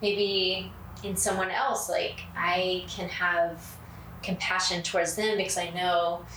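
A woman hosting a podcast in a casual chat, with a soothing, even cadence and many fillers. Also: lowish voice, slow. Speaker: podcast host. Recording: roomy, distant mic, faint noise.

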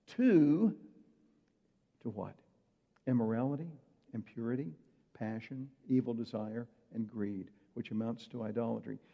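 A man's voice: medium pitch, creaky, husky. A man preaching a sermon in a conversational way, asking his listeners rhetorical questions. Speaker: pastor. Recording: muffled hall reverb.

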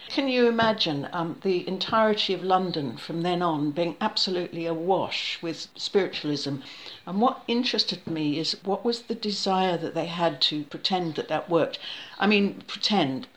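A woman's voice: lowish voice, normal speed, few pauses, fairly bright tone, low-quality recording. An older woman from New Zealand, a novelist being interviewed, talking in a rambling, self-deprecating way and laughing often. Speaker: author guest. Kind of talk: relaxed conversational interview.

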